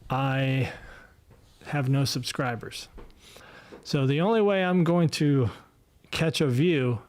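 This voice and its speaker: A man in his fifties talking casually on a solo livestream podcast, rambling and self-deprecating, with many fillers and restarts. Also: fairly high pitch. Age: 50s. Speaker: podcast host. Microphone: desk mic, no headphones.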